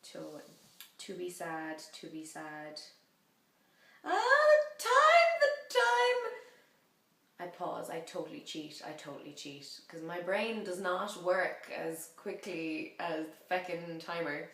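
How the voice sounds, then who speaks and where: Woman vlogger, low voice, rambling and playful, roomy echo on the recording. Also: huge pitch swings, slow pace, a bit bright. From half French, French mother. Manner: rambling, self-correcting, chatty and playful. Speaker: vlogger learning a language. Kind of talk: casual chatty vlog.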